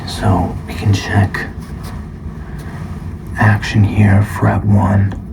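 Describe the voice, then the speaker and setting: A soft-spoken man doing an ASMR roleplay as a guitar shop appraiser, calm and measured, at times slipping into a whisper. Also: lowish voice, gravelly, background noise.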